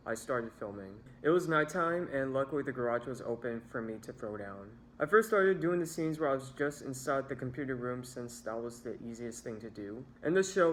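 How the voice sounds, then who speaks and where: A man's voice: medium pitch, low-quality recording. Young man narrating a personal story, background noise. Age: young adult. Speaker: video creator telling a story.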